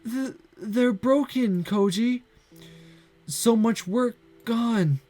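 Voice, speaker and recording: A sweet, clumsy young female Santa, put on by a man in a high, cracking falsetto that swoops up and down. She sobs and berates herself, her voice soft and broken. Faint music plays underneath. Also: big pitch swings, normal speed. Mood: tearful. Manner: high breaking falsetto, sobbing and self-pitying. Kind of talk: amateur voiced story reading.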